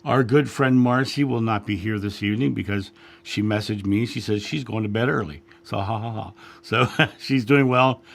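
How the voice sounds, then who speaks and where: A man hosting a spiritual livestream, chatting casually and greeting viewers by name, his voice a little raspy after a long week. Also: on the low side, normal speed. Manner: friendly, chatty, reads names from chat with frequent fillers. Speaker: livestream host, spiritual guide. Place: home studio.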